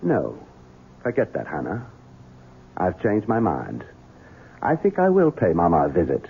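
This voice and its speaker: An actor playing a bitter, scheming husband who blusters, in old radio-drama style. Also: male voice, sits low, huge pitch swings, a little slow, gravelly, slight background noise, heavily acted. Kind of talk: 1970s radio drama acting. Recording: tinny old radio broadcast.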